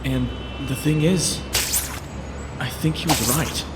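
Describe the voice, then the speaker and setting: A man's voice: on the high side, slow pace, loud music behind the voice. An actor playing a brooding, wisecracking young male superhero in a dramatic comic dub, voicing introspective monologue with wry quips. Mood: brooding, wistful. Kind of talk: dramatic comic-dub narration and character acting. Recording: noisy, echoey, ambience behind.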